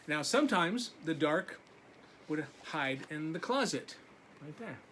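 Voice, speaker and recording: Male preschool teacher, read-aloud: bright, slow delivery with simple asides, some background noise. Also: a little high, expressive. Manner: simple asides to young listeners. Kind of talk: picture-book read-aloud for young children.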